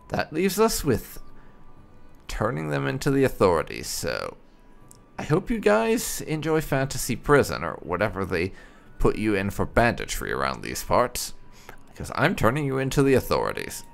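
A man's voice: medium pitch, huge pitch swings, unhurried, gravelly, faint music behind. A man giving casual, wry commentary as he hosts a roleplay video game playthrough. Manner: wry, sarcastic asides. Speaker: gamer, host of a roleplay playthrough.

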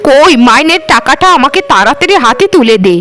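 Woman playing a high-pitched bullying aunt. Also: animated, brisk, fairly bright tone. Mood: sarcastic, demanding. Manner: sarcastic, demanding. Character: greedy, bullying middle-aged aunt. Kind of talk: cartoon voice acting.

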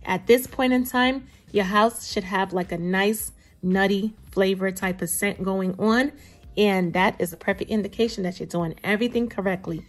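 A woman's voice: mid-range voice, fairly even intonation, moderate pace, soft background music. Chatty, playful woman hosting a cooking video. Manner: chatty, playful, addresses viewers directly. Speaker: cooking show host. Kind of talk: casual recipe narration.

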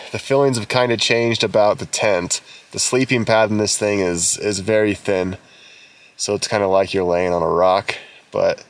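Low-voiced young man, casual camping vlog. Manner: relaxed, chatty explaining. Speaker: vlogger. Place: outdoors at a forest campsite. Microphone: handheld camera.

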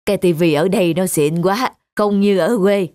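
An actress voicing a gentle, frugal elderly country mother in an animated drama, homely and unhurried in manner. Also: fairly low pitch, no room sound, clean studio sound, lightly acted. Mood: caring.

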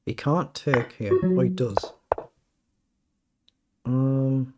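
A middle-aged man with a croaky voice, thinking aloud as he plays fast chess and comments on each move. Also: medium pitch, expressive, very noisy. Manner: thinking aloud, muttering over moves. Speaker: chess streamer. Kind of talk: live game commentary.

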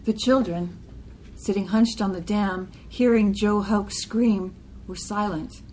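A woman reads a poem aloud at a middle pitch. There is faint noise in the background of the recording. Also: fairly even intonation, takes it slowly, a little mellow, rough recording. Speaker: poetry reader. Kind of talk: literary poem reading.

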